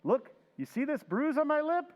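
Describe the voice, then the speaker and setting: A man's voice: very high-pitched, sing-song, moderate pace, big-room sound. A man playing a henpecked husband in an old-time radio drama recreation, chatty and easily amused. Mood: weary. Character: harried henpecked husband, middle-aged man.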